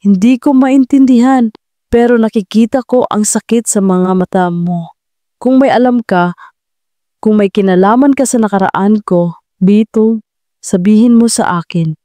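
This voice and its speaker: A woman voicing a confused, gentle and earnest male romantic lead in an audio drama. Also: mid-range voice, measured pace, no echo, lightly acted. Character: confused, gentle male CEO with lost memory.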